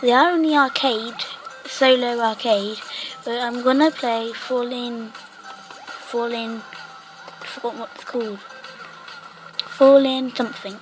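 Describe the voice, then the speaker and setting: Croaky high-voiced woman rambling over gameplay. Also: steady tone, measured pace, pauses often, music in the background, poor audio. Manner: rambling, lots of "like" fillers. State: croaky voice from a cough. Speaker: gaming channel host. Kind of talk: casual gameplay commentary.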